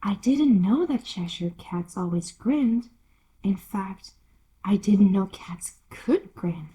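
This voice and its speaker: Storybook girl, voiced by a woman: timid, well-mannered, inquisitive, soft breathy tone, unhurried. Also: mid-range voice, lively intonation, exaggerated voice. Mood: polite, curious. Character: polite, timid, curious young girl, eager to please.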